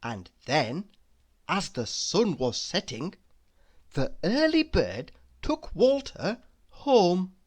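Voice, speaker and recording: Female storybook narrator, slightly low pitch, hugely varied intonation, deliberate and dramatic for young listeners. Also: sing-song, darkish tone, breathy edge. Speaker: storybook reader. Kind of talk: expressive children's read-aloud narration.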